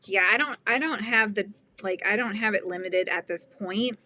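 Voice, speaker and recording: A woman's voice: mid-range voice, moderate pace, pushed and bright. A middle-aged woman hosting a casual crochet livestream, chatting and laughing as she reads viewer comments. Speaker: livestream host. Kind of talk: casual chat while crocheting.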